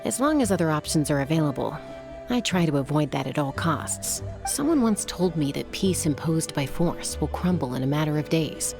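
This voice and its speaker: An actress playing a calm young female warrior and peacemaker who talks in an easygoing, measured way, in a game scene. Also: low-pitched. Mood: thoughtful. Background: game music.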